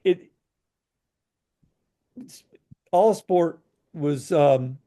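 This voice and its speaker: A man's voice: a little high, very slow, mellow. A man co-hosting a podcast, giving frank opinions in casual chat, hesitant and full of fillers. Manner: frank, hesitant, many "you know" fillers. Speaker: podcast co-host.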